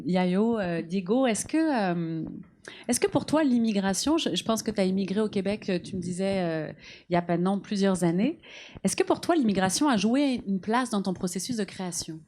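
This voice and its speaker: A woman's voice: medium pitch, animated, normal speed, darkish tone. A woman moderating a festival panel, asking questions with fillers and hesitant restarts.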